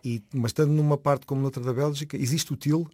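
A man's voice: mid-range voice, fairly even intonation, fast, mellow. A man with a European Portuguese accent hosting a casual interview, chatty and enthusiastic, often repeating his phrases. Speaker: interviewer, radio host.

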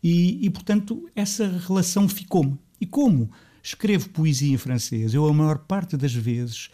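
A middle-aged man from Lisbon with a Lisbon Portuguese accent, talking as a composer in a radio interview. He is thoughtful and often restarts his phrases. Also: a little slow. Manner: thoughtful, restarts phrases, rises in pitch when animated. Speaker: composer guest.

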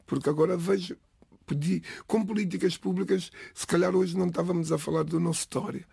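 A middle-aged man from the Lisbon area whose first language is Cape Verdean Creole, giving a halting, reflective personal account in an interview. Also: sits high, fairly even intonation, gravelly, dark tone, breathy edge, dry recording, studio quality. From Portugal, Lisbon area. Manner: halting, restarts phrases, pauses between words.

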